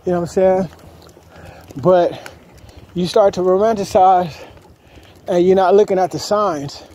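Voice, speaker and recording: A man's voice: sits high, unhurried, warm tone. A man giving casual, slangy advice in a walk-and-talk vlog, repeating himself as he goes, recorded on a handheld phone. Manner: colloquial, slangy, repeats himself, acts out quotes. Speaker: vlogger. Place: outdoors on a street while walking dogs. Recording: noisy outdoor phone recording.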